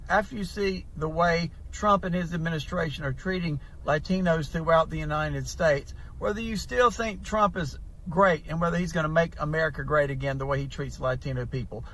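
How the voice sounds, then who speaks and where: Casting: male online commentator, slightly high-pitched, pointedly challenging his audience head-on. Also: fairly quick, a bit bright. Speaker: political video commentator. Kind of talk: direct address to viewers.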